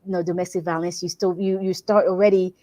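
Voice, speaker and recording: A woman's voice: on the low side, flat delivery, fast talker, warm tone. A woman from Congo, a workplace wellbeing consultant, answering interview questions in an earnest, reflective way, often restarting her phrases.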